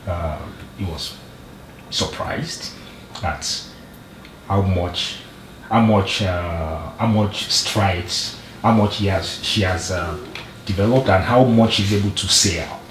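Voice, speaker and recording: A middle-aged man with a Nigerian accent, sharing slowly and haltingly with many restarts in a group talk. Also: low voice, a bit bright. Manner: slow, halting, with repeated restarts. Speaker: group member, father. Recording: roomy, distant mic, faint noise.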